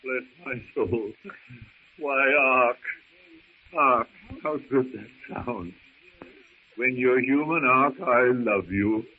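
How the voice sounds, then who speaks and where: An actor playing a prim, wordy elderly scholar with a formal, fussy manner, in 1930s radio serial style. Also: male voice, mid-range voice, slow pace, pauses often, slightly rough. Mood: tender. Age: older. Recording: tinny, muffled old radio recording.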